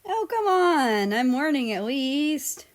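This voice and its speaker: A woman's voice: high-pitched, big pitch swings, takes it slowly, voice pushed up, exaggerated voice. A woman voicing a cheeky, friendly younger brother with a casual, teasing way in a story reading. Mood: playful.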